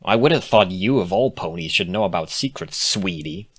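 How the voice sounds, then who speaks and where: A man's voice: sits low, expressive, moderate pace, a bit bright. A man voicing a defensive, laid-back female cartoon unicorn, grumbling with sardonic reluctance in a storybook reading. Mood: sharp.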